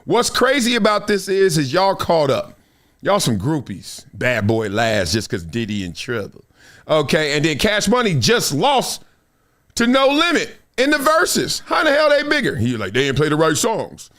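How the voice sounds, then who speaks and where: Man, middle-aged, stream host, casual commentary, lively playful delivery. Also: a little high, normal speed. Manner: animated, playful, reads comments aloud. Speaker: show host.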